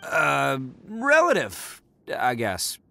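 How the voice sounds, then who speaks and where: Bright, cocky young oni hero. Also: male voice, a little high, huge pitch swings, very slow, creaky, full character voice. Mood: reassuring. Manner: cocky, swaggering, informal. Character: brash, boastful, good-hearted young oni man, gang leader. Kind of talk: English video game voice acting.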